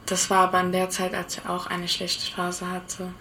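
A woman's voice: fairly low pitch, monotone, normal speed, pushed and bright, slight background noise. A woman giving a personal recollection in plain spoken words.

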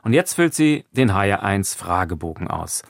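A man's voice: low voice, big pitch swings, moderate pace, vocal fry, no room sound, clean studio sound. A man hosting a radio talk show, moderating an interview and reading out short prompts. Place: dry radio studio.

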